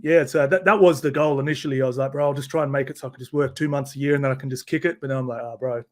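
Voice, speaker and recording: A man's voice: medium pitch, fairly even intonation, racing. A young Australian man, a podcast guest, chatting in a laid-back, slangy way and laughing often. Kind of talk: casual chat.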